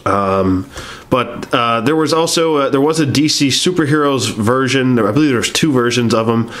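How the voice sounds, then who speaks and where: A man's voice: mid-range voice, normal speed, a bit bright. A man with a stuffy nose from being sick, chatting casually about his action figures in a rambling, hesitant way full of ums and uhs. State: sick and stuffy-nosed, sniffling. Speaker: toy collector reviewing action figures. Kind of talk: casual review chat to camera.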